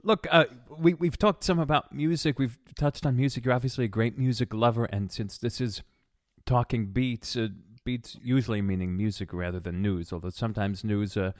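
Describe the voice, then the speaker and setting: A man's voice: mid-range voice, steady tone, normal speed, no room sound, clean studio sound. A man hosting a podcast interview, asking questions with many hesitations and fillers. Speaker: podcast host. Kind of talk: interview conversation.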